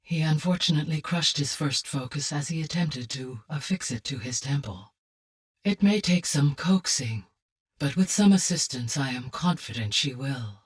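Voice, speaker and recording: A woman playing a calm, caring, erudite artificial intelligence in a video game, speaking formally and precisely in a low, husky voice. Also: distant mic, heavily acted. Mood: calm, informative. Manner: formal, measured, precise diction. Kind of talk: scripted video game dialogue.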